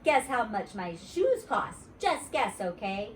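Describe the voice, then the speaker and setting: A woman's voice: on the high side, big pitch swings, takes it slowly. A woman voicing a vain, smug rich little schoolgirl in a storybook. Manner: smug, showy. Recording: roomy, distant mic, faint noise.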